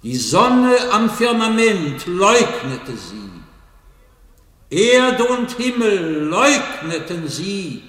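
A man's voice: high voice, big pitch swings, very slow, fairly bright tone, full character voice. An actor playing a majestic, godlike man, speaking in a solemn, declamatory way in a theatrical radio play. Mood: impassioned. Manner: solemn, declamatory, rhetorical repetition. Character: godlike, majestic man addressing his old comrades. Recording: echoey hall with long reverb, distant mic.

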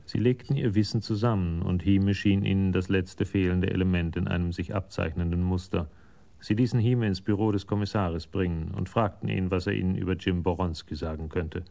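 A man narrating a scripted radio crime play in a sober storytelling manner, with a gravelly voice. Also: low-pitched, steady tone, a little fast, mellow.

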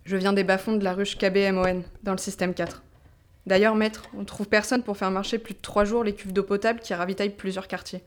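A woman's voice: mid-range voice, little pitch movement, brisk, natural voice. Woman acting self-assured young rebel woman. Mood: businesslike. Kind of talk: audio drama acting.

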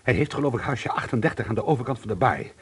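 A man's voice: medium pitch, expressive, fast, husky. An actor playing a nervy, earnest man, suspicious and haunted, in a radio drama. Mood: hesitant, uneasy. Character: jittery, indignant male amateur painter, haunted and suspicious.

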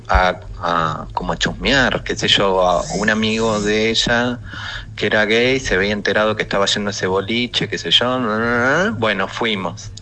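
A man with an Argentine accent telling a personal story haltingly in a voice message with faint noise behind. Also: fairly low pitch, pushed and bright, low-quality recording. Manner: halting, pausing. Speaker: listener sending an audio message. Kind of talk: casual personal anecdote.

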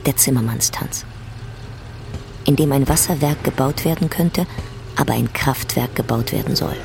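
Creaky narrator reading stage directions over music. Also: mid-range voice, moderate pace. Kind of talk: scripted narration of a stage play.

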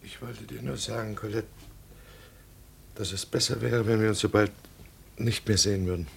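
An actor playing a frightened, broken lover fresh from prison in a 1950s German radio drama, halting and anxious. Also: male voice, fairly low pitch, fairly even intonation, creaky, slight background noise. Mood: subdued, afraid. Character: fearful, broken lover just freed from prison.

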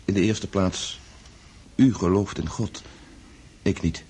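An actor playing a wealthy young Russian count in a radio drama, unhappy and doubting, speaking in a polite, brooding, hesitant way. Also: male voice, sits low, steady tone, slow, a little mellow, husky, exaggerated voice. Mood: despairing. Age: young adult. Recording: noisy old radio play recording.

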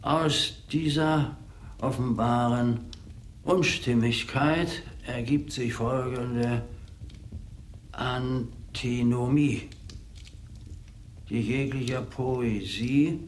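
A male actor in a German radio play, playing a self-important, pedantic man of learning who declaims in a deliberate way. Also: mid-range voice, a little hiss or hum. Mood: solemn, pedantic.

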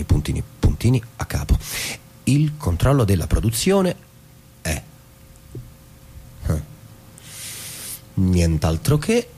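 An actor playing a dry, deliberate narrator and office husband in a literary stage drama, reciting in a theatrical way. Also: male voice, low voice, dark tone, a little hiss or hum, full character voice. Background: music.

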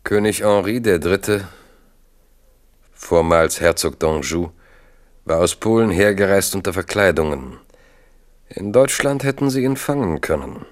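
A man's voice: low voice, lively intonation, measured pace, pauses often. A man narrating a German radio drama in a scripted, dramatic way, with terse, weighty phrasing. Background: music.

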